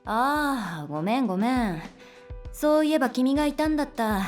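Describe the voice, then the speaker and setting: Breezy, blunt female teacher, lively mid-pitched voice, teasing and casual, audio drama with faint music behind. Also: normal speed. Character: easygoing, teasing adult woman teacher who loves beer. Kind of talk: Japanese voice drama acting.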